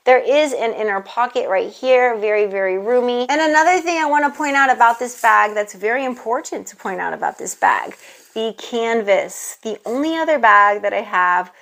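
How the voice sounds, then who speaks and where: Excited handbag vlogger lady, kinda high voice with some vocal fry, lapel mic. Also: normal speed. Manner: gushing, enthusiastic, addresses viewers as "you guys". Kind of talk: chatty unboxing vlog. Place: indoor room with handbag shelves. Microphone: clip-on lapel mic.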